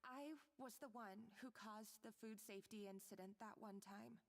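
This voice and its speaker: A woman playing a timid, anxious, guilt-ridden young female junior cook in a video game, with hesitant, halting delivery. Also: medium pitch, long reverb tail, close to an everyday voice. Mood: guilty.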